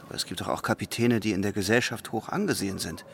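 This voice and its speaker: A man's voice: on the low side, fast talker, creaky, fairly bright tone, a little hiss or hum. A man playing an earnest, lovesick young seaman in a radio play, prickly and emotional. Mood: earnest, hopeful. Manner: earnest, emotional, easily exasperated. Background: music.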